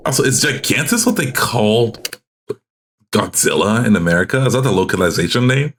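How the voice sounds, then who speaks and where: Low-voiced man reacting casually, thinking aloud. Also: lively intonation, a bit bright, slightly rough. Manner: halting, informal, thinking aloud. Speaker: streamer reacting to horror videos. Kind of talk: casual live reaction commentary mixed with reading captions aloud.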